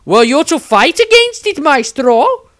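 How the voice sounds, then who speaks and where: A man's voice: very high-pitched, sing-song, unhurried, fairly bright tone, pitched above the performer's own voice, exaggerated voice. A man voicing an overbearing, amorous, zealous nun in an audio story, gushing and cooing with great emphasis. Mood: zealous, insistent.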